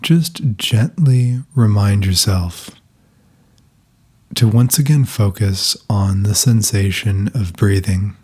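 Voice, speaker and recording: Slow, husky-voiced man guiding meditation. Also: on the low side. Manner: soft, calm, unhurried instruction with long pauses. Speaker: meditation guide. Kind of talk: guided meditation.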